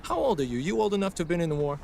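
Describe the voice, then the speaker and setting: A man's voice: on the high side, very animated, racing, vocal fry, a little mellow, a little hiss or hum. An actor playing a proud, opinionated 1930s craftsman in a period audio drama, talking in a blustery, emphatic, long-winded way. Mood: scornful. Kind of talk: period radio drama acting.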